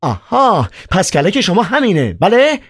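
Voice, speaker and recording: A man reading aloud and voicing a frantic young male poet held in an asylum, his voice pushed high and shrill. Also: huge pitch swings, slightly rough, no echo, clean studio sound, full character voice. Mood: shouting.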